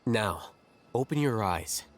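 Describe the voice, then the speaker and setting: Aloof, matter-of-fact young scholar. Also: male voice, lowish voice, expressive. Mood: detached. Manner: matter-of-fact, dismissive, measured. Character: aloof young male scholar, cool, blunt, calculating. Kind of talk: anime game voice acting.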